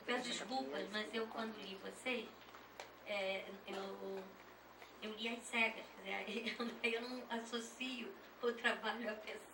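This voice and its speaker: A Brazilian woman moderating a session informally and off the cuff, halting and correcting herself as she goes. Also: medium pitch, fairly even intonation. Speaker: session chair introducing speakers. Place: echoey session room at a university dance school. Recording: echoey room, distant mic, clear noise.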